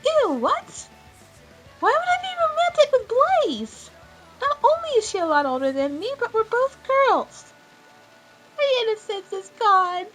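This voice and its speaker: A woman's voice: very high-pitched, very animated, a little slow, fairly bright tone, soft background music, voice pushed up, heavily acted, rough recording. A woman voicing a sweet, polite young girl rabbit in a fan-made cartoon question show. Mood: disgusted.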